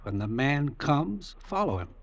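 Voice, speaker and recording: An actor playing a shrewd, controlled crime boss in an old radio drama, speaking in terse, deliberate commands. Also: male voice, on the high side, big pitch swings, creaky, lo-fi sound, heavily acted. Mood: calculating, commanding. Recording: noisy old radio recording.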